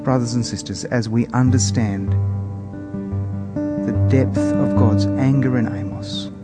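A man preaching a sermon in a measured, earnest manner with weighty pauses. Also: medium pitch, steady tone. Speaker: preacher introducing scripture. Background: soft plucked guitar music.

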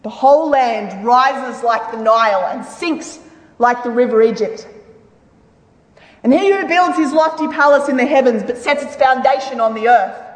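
A man playing a solemn prophet in a dramatic scripture reading, declaiming God's majesty deliberately. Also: very high voice. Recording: echoey hall with long reverb.

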